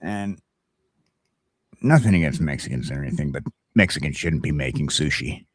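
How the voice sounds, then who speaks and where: A man with a low voice co-hosts a casual geek chat show. He is blunt and crude, giving opinions in halting bursts. The sound is close and dry. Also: lively intonation, slightly rough, no room sound. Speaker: co-host of a geek culture morning chat show.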